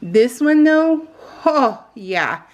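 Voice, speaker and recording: A woman's voice: fairly high pitch, big pitch swings, drawn-out words. A woman chatting casually while crafting on her vlog, rambling off on tangents in a jokey, self-deprecating way. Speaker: craft vlogger.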